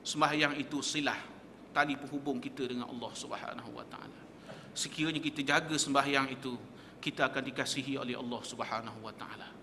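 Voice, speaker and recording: A man's voice: medium pitch, quick pace, vocal fry, sharp tone, slight background noise. Male religious teacher, lecturing in a small mosque hall with a long reverb; a tense, pushing delivery. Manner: emphatic, rhetorical questions, quotes Arabic texts.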